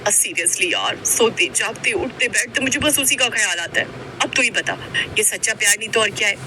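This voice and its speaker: A woman's voice: medium pitch, moderate pace, noisy background, lightly acted. A woman voicing a bold, spoiled rich college girl in a dramatic, teasing cartoon style, mixing Hindi with English. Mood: lovestruck. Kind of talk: Hindi cartoon story voice acting.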